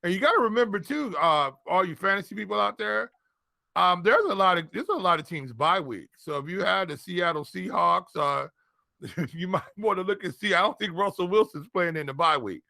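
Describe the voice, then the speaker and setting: A man's voice: high voice, animated, moderate pace, gravelly, bright, forceful tone, no echo. A man co-hosting a sports show in casual chat, informal and colloquial, speaking straight to the listeners. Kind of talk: casual fantasy football chat.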